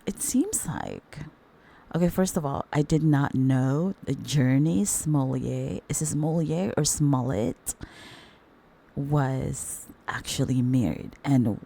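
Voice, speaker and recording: A middle-aged woman with a hoarse voice from the flu, chatting casually with her viewers as host of a commentary channel and calling them darlings. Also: takes it slowly, warm tone, a little hiss or hum. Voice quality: very deep voice.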